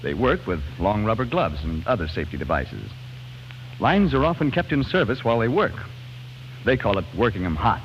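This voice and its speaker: A man's voice: fairly low pitch, very animated, moderate pace. An American man announcing on 1940s radio, reading scripted sponsor narration in a folksy, polished, emphatic way. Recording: muffled, hissy 1940s radio broadcast.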